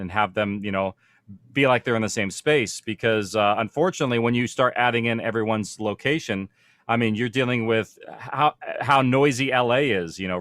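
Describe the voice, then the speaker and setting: A man's voice: on the low side, fairly bright tone, no echo. A man speaking as a producer on a panel, explaining practical matters informally and conversationally, thinking aloud as he goes.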